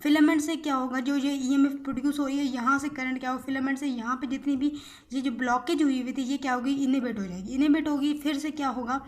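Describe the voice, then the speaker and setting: A woman's voice: high voice, steady tone, fast. A young woman giving an informal explanatory lecture, repeating herself, asking and answering her own questions and mixing in English technical terms. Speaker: physiotherapy teacher.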